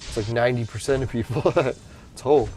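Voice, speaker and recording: A man's voice: mid-range voice, normal speed, a little mellow, faint music behind. A young man, rambling casually while he streams a game. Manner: rambling, chatty, swears and laughs. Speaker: gaming streamer. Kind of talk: casual gameplay commentary.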